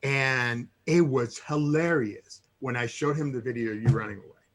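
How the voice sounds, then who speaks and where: A man from Cuba, a guest on a casual show, telling a story with excited bursts. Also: normal speed, a little hiss or hum. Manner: excitable storyteller, bursts into loud high cries. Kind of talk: casual chat.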